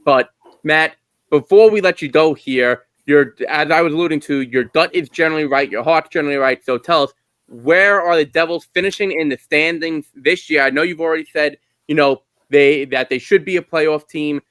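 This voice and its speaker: A man's voice: fairly high pitch, normal speed, a bit bright, dry recording. A man co-hosting a podcast, putting questions in casual sports interview chat. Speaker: podcast co-host.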